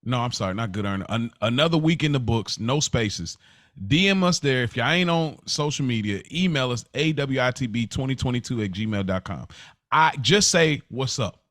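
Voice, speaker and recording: Animated man with widely swinging pitch. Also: normal speed, dry recording, clean studio sound. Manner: animated, informal, repeats phrases for emphasis. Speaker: podcast co-host. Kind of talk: casual, unscripted podcast conversation.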